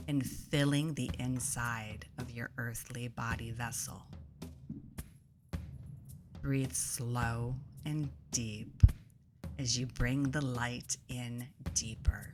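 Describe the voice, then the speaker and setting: A woman leading a guided meditation in a soothing, deliberate way, pausing between phrases, her soft voice turning breathy as phrases end. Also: a bass voice, fairly even intonation. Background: instrumental music.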